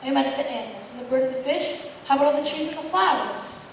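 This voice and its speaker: A woman's voice: high-pitched, racing, slight background noise. A woman playing a patient child friend who asks question after question on stage. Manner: calm, probing questions one after another. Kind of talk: stage play acting. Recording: echoey hall, mic far from the stage.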